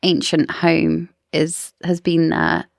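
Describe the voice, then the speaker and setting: A woman's voice: sits low, monotone, slow pace, vocal fry, dry recording, studio quality. A woman co-hosting a podcast, casually discussing books in an explanatory, speculative way. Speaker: podcast co-host.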